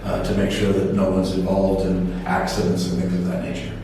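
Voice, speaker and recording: A low-voiced man talks through his answers in a relaxed, halting way, dotting his sentences with "uh". He sits some distance from the microphone in a roomy space, so his voice carries a long echo and a faint hiss. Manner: relaxed, hesitant with many fillers. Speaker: guest, study-abroad program organizer. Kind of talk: interview answers. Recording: echoey room, distant from mic, faint noise.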